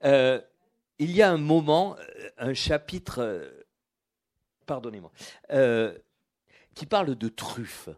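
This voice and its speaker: A man's voice: mid-range voice, expressive, slow, long pauses. A man interviewing an author, asking questions with many restarts and frequent chuckles. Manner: stumbling restarts, warm chuckles.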